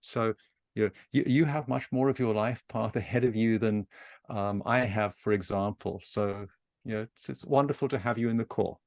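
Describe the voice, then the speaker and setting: A man who writes books, speaking in a reflective, measured way as he hosts an online session. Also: lowish voice, gravelly, a little mellow, no echo, clean studio sound. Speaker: author and session host. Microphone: video call.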